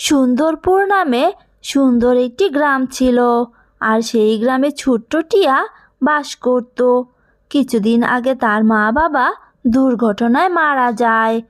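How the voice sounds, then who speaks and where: High-pitched woman storyteller. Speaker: story narrator. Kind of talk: scripted narration of children's cartoon fable.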